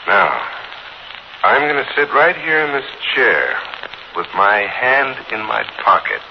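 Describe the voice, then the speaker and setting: An actor playing a smug, threatening armed fugitive in a 1940s radio thriller. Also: male voice, mid-range voice, big pitch swings, a little slow. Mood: smug menace. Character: menacing armed male fugitive, smug and threatening, caught off guard. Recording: dull, noisy 1940s radio broadcast.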